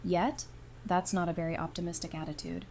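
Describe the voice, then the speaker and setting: A woman's voice: lowish voice, steady tone, a little fast, darkish tone, close to an everyday voice. A woman voice-acting a wry, affectionate apocalypse survivor in an audio drama, casual and dryly teasing. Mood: practical. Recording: noticeable background noise and ambience.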